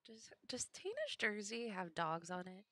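A woman's voice: medium pitch, wildly swooping pitch, measured pace, fairly bright tone, dry recording. A woman doing live bowling commentary on a headset, chatty and giggly, often drifting off topic. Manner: chatty, giggly, easily sidetracked. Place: commentary booth at a bowling center.

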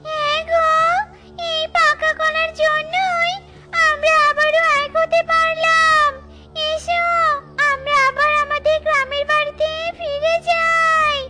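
A woman's voice: very high-pitched, level tone, slow pace. A woman voicing a sorrowful, wronged witch in a cartoon, with a thin, piercing voice. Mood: tender. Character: sorrowful, wronged witch searching for her lost husband. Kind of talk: cartoon voice acting. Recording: music behind.

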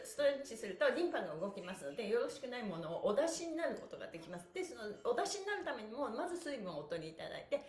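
A middle-aged woman instructing a stretching session in a cheerful, animated, very polite manner, switching between rhythmic prompts and chatty asides. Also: on the high side. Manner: animated, cheerful, very polite honorific wording, frequent chuckles. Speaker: stretching instructor and livestream host. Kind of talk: guided exercise instruction with counted chants and chatty asides. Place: small plain indoor room, sitting on a mat. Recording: roomy echo, faint music.

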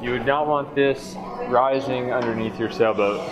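A man's voice: mid-range voice, fairly even intonation, moderate pace, background noise. A man in his thirties joking dryly while filming himself outdoors by a harbor. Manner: dry, joking. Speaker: sailing vlogger. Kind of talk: casual vlog chat. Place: outdoors, harbor and city streets. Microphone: handheld camera.

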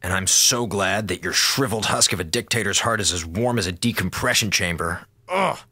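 An actor playing a sarcastic, slacking communications officer on a space station, wisecracking and mock-polite, in a radio drama. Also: male voice, low voice, expressive, fairly quick, bright, forceful tone, a little hiss or hum. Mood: mock-sincere, sarcastic.

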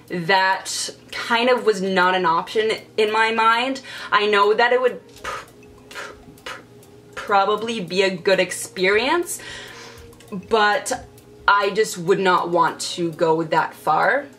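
Slow-talking young woman with a stutter. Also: medium pitch, a bit bright. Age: young adult. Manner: stutters with repeated sounds and blocks. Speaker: vlogger. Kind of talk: casual get-ready-with-me chat. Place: bedroom, indoors.

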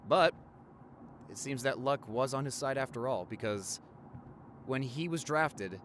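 A man's voice: medium pitch, measured pace, fairly bright tone, a little hiss or hum, close to an everyday voice. A man playing an affectionate doctor boyfriend in his forties, talking casually and a little hesitantly in an intimate audio roleplay. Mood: fond, reminiscing. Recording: car ride ambience behind the voice.

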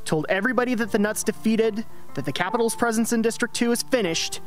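Radio drama: man as coaxing, pragmatic mentor to a young rebel, high male voice. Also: fast talker, faint music behind, natural voice. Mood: coaxing, urgent. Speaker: actor in a radio play.

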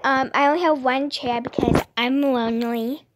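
A high-voiced female gaming host chats casually through her play, in an informal vlog style. The recording has clear noise and clicking behind her. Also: steady tone, moderate pace. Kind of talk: casual gameplay chat. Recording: noisy home recording with clicks.

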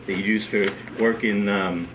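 A middle-aged man with a fairly low voice walks a small group through a hands-on demonstration. He talks in a relaxed, chatty way and often repeats words as he works. The camera mic picks up his voice in a wood-paneled workshop, with a steady hiss of noise underneath. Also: moderate pace. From United States. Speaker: ski school director. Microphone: camera mic on a workbench.

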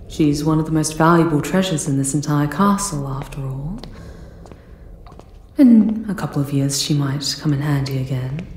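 A woman acting a coolly scheming castle servant in a dramatic ASMR roleplay, measured and conspiratorial, in an echoey space. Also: low voice, darkish tone.

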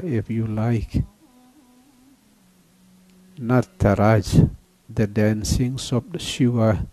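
Man, meditation teacher, unhurried and mellow. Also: medium pitch, rough recording. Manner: slow, calm, with long pauses. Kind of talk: guided meditation.

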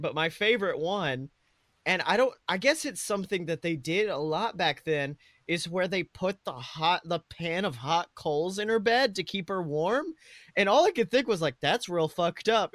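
A man's voice: high voice, fairly bright tone, dry recording, studio quality. A young man co-hosting a podcast, chatting casually and comedically with slangy, profane talk. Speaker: podcast co-host.

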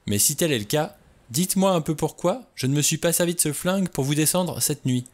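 A man's voice: fairly high pitch, animated, fairly quick, voice pushed up, natural voice. A man reading an audiobook, voicing a cool, self-assured man with a dry, mocking way of talking.

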